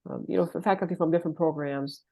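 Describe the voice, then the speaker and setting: A woman with a very low voice and a gravelly creak, soft-edged in tone. She explains things as a professor being interviewed, carefully and haltingly, often repeating a word while she finds the phrasing. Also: fairly even intonation, rough recording. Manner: hesitant, careful, often repeating and rephrasing words. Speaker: linguistics professor, interview guest.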